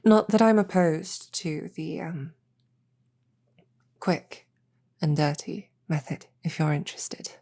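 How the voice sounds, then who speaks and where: A woman voicing an affectionate, teasing female succubus demon in a soft, intimate roleplay audio drama. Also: full character voice. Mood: tender, earnest. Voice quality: breathy, soft, low. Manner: teasing, intimate, unhurried, halting. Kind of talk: roleplay audio drama, whispered sleep-aid ASMR.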